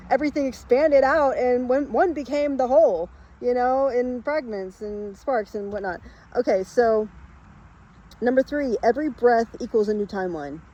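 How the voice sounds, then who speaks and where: A woman's voice: mid-range voice, a little mellow, dull audio, a little hiss or hum. A woman in her late thirties explaining spiritual ideas in a casual, rambling monologue, emphatic and full of fillers. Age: late 30s. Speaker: spiritual vlogger.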